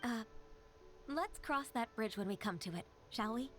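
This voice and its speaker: A woman voicing a soft-spoken, earnest young secretary in a video game. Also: on the high side, expressive, moderate pace, lightly acted. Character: gentle, dutiful young woman secretary, half-divine. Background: faint game music.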